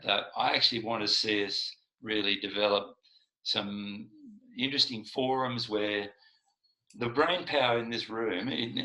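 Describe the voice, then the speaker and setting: An older man speaks in a low voice with a bright, forceful edge. He talks earnestly and restarts his phrases often. The sound carries the echo of a room. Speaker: speaker in a business forum. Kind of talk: casual talk in an online meeting.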